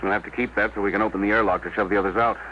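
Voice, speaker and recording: An actor playing a commanding, grimly practical spaceship captain in a 1950s radio drama. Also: male voice, on the low side, fairly even intonation, rapid-fire, lightly acted. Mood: businesslike. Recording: muffled old radio recording, faint noise.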